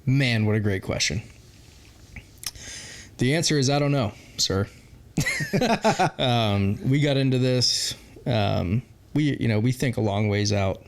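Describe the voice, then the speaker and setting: A man's voice: mid-range voice, very animated, slow, pauses often. Candid forty-year-old man, rambling interview answers. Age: 40s. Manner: candid, rambling, many "um" fillers, laughs often. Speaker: brewery founder and guest.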